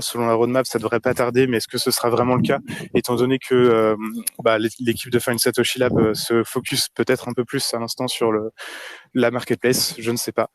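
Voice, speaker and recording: A man's voice: medium pitch, dry recording. A man hosting a casual online audio chat, talking with many hesitations and fillers. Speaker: host of a community audio space.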